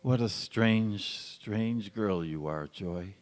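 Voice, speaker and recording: A man playing a gentle, polite, wistful father in a radio drama, speaking in a measured way. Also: lowish voice. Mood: tender, wistful. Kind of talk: stage radio drama acting. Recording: echoey church hall.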